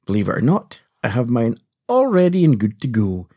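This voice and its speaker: A man hosting a podcast with scripted comedic narration, husky in voice and playful, punning and theatrical in delivery. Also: mid-range voice, huge pitch swings, normal speed, dark tone. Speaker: podcast host. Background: faint music.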